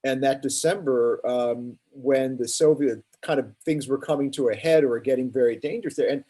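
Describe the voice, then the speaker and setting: A man's voice: medium pitch, moderate pace, mellow. A man in his sixties reminiscing conversationally as a panelist on a video call. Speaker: panelist author.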